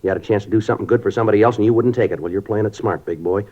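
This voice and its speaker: An actor playing a stern middle-aged police sergeant who narrates in a clipped, matter-of-fact way. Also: male voice, lowish voice, rapid-fire, mellow, lightly acted. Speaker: detective narrator. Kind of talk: 1950s radio acting. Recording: dull old radio recording with music.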